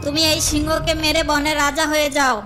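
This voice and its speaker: A woman voicing a sly, flattering, scheming jackal in a children's cartoon. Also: high voice, brisk, music in the background, close to an everyday voice. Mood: wheedling, flattering. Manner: wheedling, flattering, theatrical.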